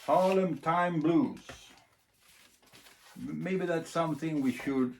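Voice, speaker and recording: Slow-talking man, halting and unscripted. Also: on the high side, a little mellow. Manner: halting, thinking aloud in short phrases. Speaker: record collector presenting a demonstration. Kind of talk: casual unscripted demonstration. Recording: clear background noise, clicks.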